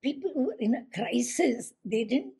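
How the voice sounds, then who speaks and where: A woman's voice: a little high, lively intonation, moderate pace, gravelly, dark tone, husky. A Tamil-speaking woman shares her spiritual experience earnestly, hesitating as she searches for words. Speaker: group participant sharing her experience. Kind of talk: personal testimony.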